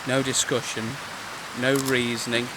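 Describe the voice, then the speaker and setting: An actor playing a mopey, passive-aggressive British man in an audio comedy drama, deadpan and pointed. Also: mid-range voice, unhurried, background noise, natural voice. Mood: wounded, mournful. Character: mopey, passive-aggressive man nursing his own heartbreak. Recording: rain and outdoor ambience behind.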